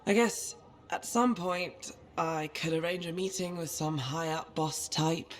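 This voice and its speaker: An actress playing a brash, scrappy troublemaker in a video game, cocky yet sheepish, with a punchy delivery. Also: female voice, low-pitched, measured pace. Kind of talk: video game cutscene acting.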